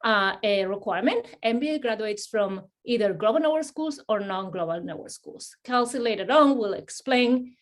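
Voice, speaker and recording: Woman with a Spanish accent, fairly high voice. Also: animated, moderate pace, few pauses. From Spain. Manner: friendly, many fillers and restarts. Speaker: assistant dean hosting an admissions webinar. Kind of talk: webinar presentation.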